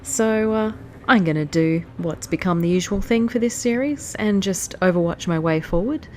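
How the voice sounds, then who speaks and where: A woman's voice: medium pitch, normal speed, darkish tone, slight background noise. A woman with a stuffy, nasal voice from hay fever, chatting casually as she plays through a strategy game. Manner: chatty, easily distracted. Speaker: let's play host. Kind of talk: casual gameplay commentary.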